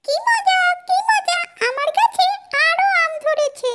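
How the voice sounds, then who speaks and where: Squeaky little cartoon child. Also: female voice, lively intonation, unhurried, noisy background. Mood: delighted. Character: clever, cheerful little child hero of cartoon tales. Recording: music under the voice.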